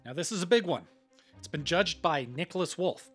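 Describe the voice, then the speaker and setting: A man's voice: fairly high pitch, animated, fairly quick, fairly bright tone, faint music behind. A man hosting a podcast, delivering scripted announcements. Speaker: podcast host.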